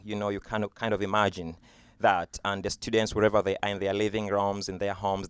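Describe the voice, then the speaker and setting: A man's voice: low voice, clean tone, dry recording, clean studio sound. A Ugandan man whose first language is Luganda gives a virtual school presentation as a music teaching artist. He explains patiently and says "uh" and "you know" often, speaking into a computer webcam mic.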